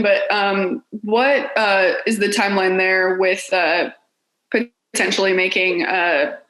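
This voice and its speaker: Casting: female reporter, medium pitch, hesitant questioning, very roomy sound. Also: fairly bright tone. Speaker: sports reporter. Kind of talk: press conference question. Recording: echoey room on call.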